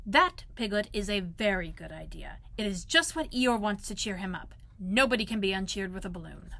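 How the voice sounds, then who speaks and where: A woman voicing a gentle, slow-witted male teddy bear in a storybook, earnest and pondering. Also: mid-range voice, lively intonation, vocal fry, full character voice. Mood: approving.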